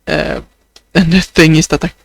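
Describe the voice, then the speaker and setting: Swedish guy rambling in his video diary, flat halting voice that sounds kinda sad, lots of uhs. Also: a little high, creaky. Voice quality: sounds sad or close to crying. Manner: hesitant, many fillers, slow and halting. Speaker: video journal creator.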